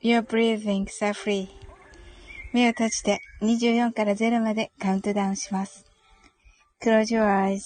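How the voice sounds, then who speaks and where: Woman, slow-paced, over music. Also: medium pitch, no room sound, rough recording. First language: Japanese. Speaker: host of an English-learning mindfulness audio show. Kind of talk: bilingual guided meditation, then casual live chat with listeners.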